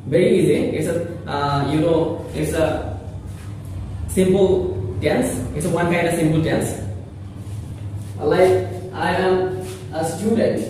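A young man teaching a beginner English grammar lesson at a whiteboard, explaining slowly with many fillers and repetitions. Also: high voice, noisy background. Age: young adult. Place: small indoor room with whiteboard. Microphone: camera mic from a distance. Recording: echoey room, far from mic.

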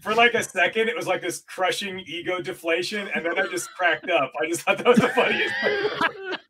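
A man's voice: high voice, huge pitch swings, brisk, noisy background. A man in his fifties, a reptile breeder guesting on a video-call podcast, telling stories in a laughing, self-deprecating way. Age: 50s. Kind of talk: casual chat. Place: home room with green screen. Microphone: studio mic on video call.

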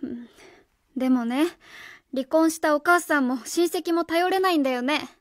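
High-pitched earnest schoolteacher played by a woman. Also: normal speed, no echo, clean studio sound, close to an everyday voice. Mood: concerned. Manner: careful, insistent, polite. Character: earnest, by-the-book female schoolteacher on home visit. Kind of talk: Japanese radio drama acting.

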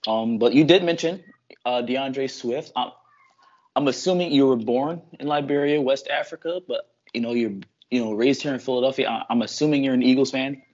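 A man's voice: mid-range voice, fairly quick, a little mellow. A young man hosting a podcast interview over a video call, bantering casually with his guest. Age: young adult. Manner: friendly banter, laughs along, calls guest "bro". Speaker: podcast host. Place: small room indoors. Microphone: video call, laptop camera. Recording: dull lo-fi video call audio.

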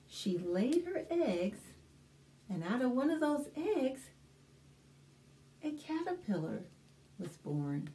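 A middle-aged American woman hosting a storytime, reading a picture book aloud to young children in an expressive, deliberate way with simple explanations. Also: mid-range voice. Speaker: children's librarian and storytime host. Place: small indoor room, plain backdrop.

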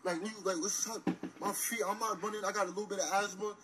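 A man's voice: sits high, steady tone, fast talker. A man doing an exasperated, complaining comic rant to camera. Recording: noisy social media clip.

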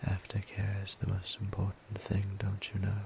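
A man playing a gentle, seductive vampire priest in an ASMR roleplay, whispering breathily with soothing, intimate, formal endearments. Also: low voice, monotone, moderate pace, vocal fry. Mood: tender, proud. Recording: noticeable ambient background noise.

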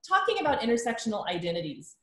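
A woman in her thirties hosting a video-call conversation as a course instructor, chatty and thinking aloud with quick asides. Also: a little high, sing-song, a bit bright, roomy sound. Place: living room with bookshelves. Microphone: laptop webcam on a video call.

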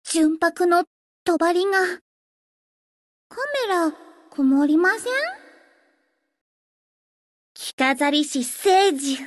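A woman voicing a teenage gothic idol girl in a Japanese game, grandiose and sweetly shy, switching between pompous declarations and soft plain talk. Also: very high voice, extremely slow, pauses often, no room sound, studio quality, exaggerated voice. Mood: excited, sweet.

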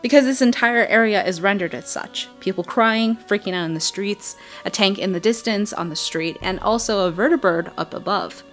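A woman giving casual, expressive voice-over commentary on a video game, chatty and full of wry asides. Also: mid-range voice, moderate pace, hardly any pauses. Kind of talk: casual trailer breakdown commentary. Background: music.

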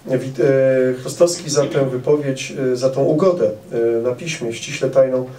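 A middle-aged man speaks carefully into a handheld microphone, pausing often on fillers as he answers questions at an author event. His voice is mellow and mid-pitched. The sound is roomy, picked up from a distance with a faint hum behind it. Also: steady tone. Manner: measured, many "e" fillers, precise. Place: indoor event hall with audience. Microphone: handheld mic. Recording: roomy hall sound, camera some distance off.

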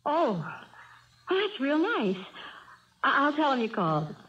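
An actress playing a tender, doting young wife, cooing gently in a radio drama. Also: female voice, sits high, big pitch swings, measured pace, heavily acted. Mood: chatty. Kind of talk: 1970s radio drama acting. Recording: muffled old radio broadcast, faint hiss.

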